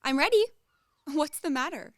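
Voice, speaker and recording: A woman acting in a radio play as a light-hearted, possessive young fiancée of high family, sweetly imperious. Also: expressive, normal speed, no echo, clean studio sound. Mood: bright, playful.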